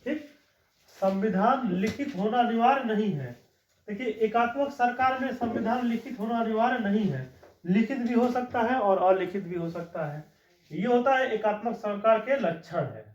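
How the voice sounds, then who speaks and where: A high-pitched man lectures on law, going over each point twice and checking in with his listeners as he goes. The recording is dull and lo-fi, and his voice rings in an echoey room. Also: normal speed, a little hiss or hum. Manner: explanatory, repeats key points, frequent "ठीक" check-ins. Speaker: law teacher. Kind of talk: classroom-style lecture.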